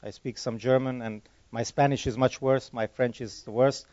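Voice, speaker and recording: A man's voice: medium pitch, steady tone, moderate pace, dark tone, dry recording. Man answering at a conference. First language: Hungarian. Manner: chatty, rambling, self-correcting. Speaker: conference speaker. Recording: dull lo-fi conference recording.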